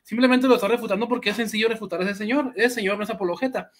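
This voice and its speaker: A man co-hosting a live stream, mocking an opponent in an animated way, with lots of fillers. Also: sits high, rapid-fire.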